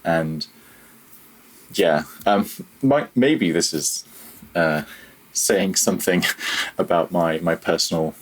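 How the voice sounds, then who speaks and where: Male podcast guest, deep husky register, self-deprecating and informal. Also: takes it slowly, pauses often, low-quality recording. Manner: candid, self-deprecating, wry. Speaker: podcast guest, audio software developer. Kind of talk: casual interview talk.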